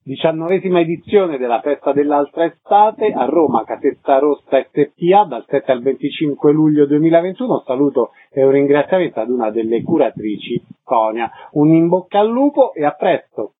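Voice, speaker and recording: A man's voice: on the high side, lively intonation, brisk, few pauses, husky. A man hosting a radio interview. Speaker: radio host interviewing guests. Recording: phone-like narrow-band radio sound.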